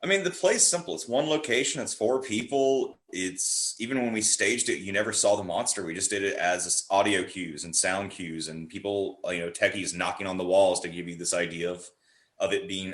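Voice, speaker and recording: A man with a fairly low voice, recorded in a roomy, echoing space. He chats casually and wanders through his thoughts in a jokey storyteller's way, as a guest on a podcast. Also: a bit bright. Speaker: guest, horror playwright. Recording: roomy, echoey sound.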